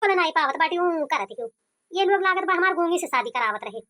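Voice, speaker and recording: A woman's voice: very high voice, animated, quick pace, pushed and bright, full character voice. A woman voicing a complaining, stubborn young village groom in an Awadhi-accented cartoon comedy. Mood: indignant. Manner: complaining, argumentative.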